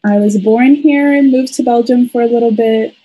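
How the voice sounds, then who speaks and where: A woman's voice: on the high side, moderate pace, warm tone, breathy edge, poor audio. A woman in her late teens from Sweden, co-hosting a podcast with a casual introduction. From Sweden, some years in Canada. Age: around 18-20. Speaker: podcast co-host.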